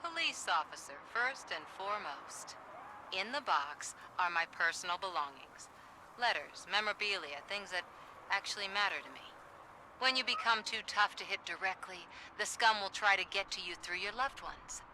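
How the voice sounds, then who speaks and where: An actress playing a determined, wary investigative reporter in a video game, with a pointed, challenging way of talking. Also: female voice, medium pitch, animated, moderate pace, sharp tone, slight background noise, poor audio. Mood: guarded, softening. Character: determined, defiant investigative reporter woman, wary and sharp-tongued.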